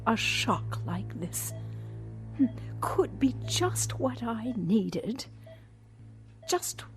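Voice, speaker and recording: Slow, vengeful elderly lady. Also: a little high, big pitch swings, soft background music, exaggerated voice. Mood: calculating. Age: older. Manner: slow, deliberate, dropping into whispers. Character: vengeful, patient elderly woman quietly gloating over her victim. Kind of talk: audio drama monologue.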